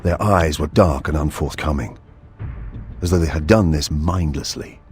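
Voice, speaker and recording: An actor playing a cold, iron-willed inquisitor in a video game, speaking in a grave, measured, deliberate way. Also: male voice, a bass voice, a little mellow, soft background music. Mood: grave, brooding. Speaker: protagonist and narrator. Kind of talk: scripted dramatic narration in a video game.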